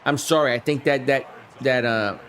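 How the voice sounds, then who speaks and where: A man with a hoarse, flu-worn voice calling a video game football simulation play by play on a live stream, shouting on big plays and chatting with his viewers. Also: mid-range voice, normal speed, slight background noise.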